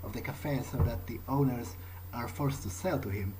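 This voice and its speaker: A man improvising in character during a game playthrough, speaking in a halting way and repeating words. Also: medium pitch, mellow, rough recording. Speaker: gamer roleplaying his character. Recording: faint background noise.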